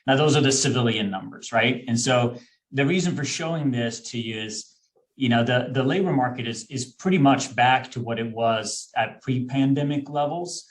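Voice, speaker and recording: A man in his thirties from Sweden, speaking English at a middle pitch for a man. He explains things in a friendly way, often pausing on fillers and restarting a phrase. He is heard over a video call microphone, like a guest on a webinar. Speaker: executive recruiter, guest. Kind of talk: webinar presentation.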